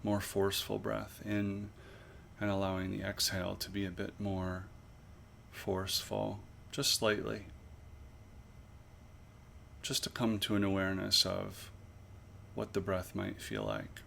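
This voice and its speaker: A man leading a guided body scan meditation as an instructor, with measured, pausing, gently instructive phrasing. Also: on the low side, gravelly, slight background noise.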